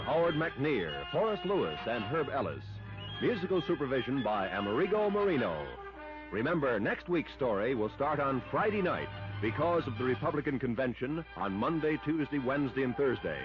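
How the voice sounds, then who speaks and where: Loud music plays under a dull vintage radio recording of a male announcer. He reads in scripted 1950s broadcast style, with very lively intonation. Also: medium pitch, moderate pace.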